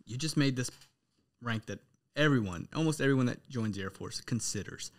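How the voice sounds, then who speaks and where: A man's voice: medium pitch, animated, a little fast. An American man hosting a podcast, chatting informally and earnestly with his guest. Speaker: podcast host. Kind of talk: casual interview chat.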